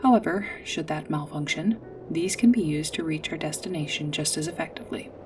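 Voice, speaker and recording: Woman doing a polite android guide, low voice, super formal and precise, bit far from the mic, music clearly playing behind. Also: lightly acted. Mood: formal, helpful. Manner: formal, precise, measured, literal-minded. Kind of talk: scripted sci-fi ASMR roleplay.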